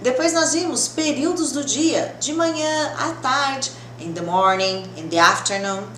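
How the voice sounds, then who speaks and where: Brazilian lady english teacher, highish voice, chatty n reassuring explainer, echoey room w some noise. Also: lively intonation, a little slow, fairly bright tone. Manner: didactic, reassuring, repeats with check-in questions. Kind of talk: conversational grammar lesson to camera. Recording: roomy echo, clear background noise.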